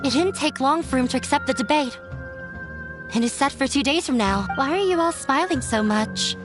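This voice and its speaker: High female voice, composed polite young lady, quietly confident, game dialogue, music behind. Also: lively intonation, normal speed. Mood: calm. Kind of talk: video game voice acting.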